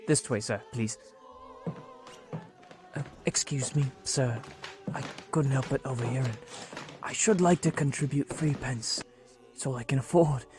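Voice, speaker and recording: A man's voice: mid-range voice, unhurried, pauses often, over music, close to an everyday voice. A man playing a meek, kindly, nervous clerk in a radio play, timid and deferential toward his employer. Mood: deferential, earnest. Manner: deferential, timid, eager to please. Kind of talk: theatrical radio play acting.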